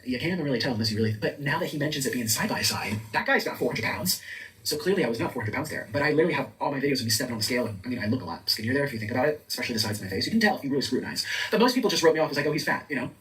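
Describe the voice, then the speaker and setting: A man chatting rapidly in a talking-head video, filling his talk with many likes. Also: medium pitch, animated, a bit bright, distant mic, poor audio. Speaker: content creator. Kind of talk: casual talking-head chat.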